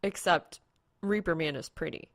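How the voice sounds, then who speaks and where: A woman's voice: lowish voice, moderate pace. A woman reading a piece of fan fiction aloud as a scripted narration.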